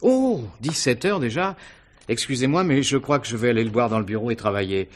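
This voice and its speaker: An actor playing a courteous, evasive theatre gentleman under suspicion in a French radio mystery play, speaking in a measured way. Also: medium pitch, animated, slight background noise, lightly acted. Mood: affable, courteous. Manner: courteous, measured, slightly defensive.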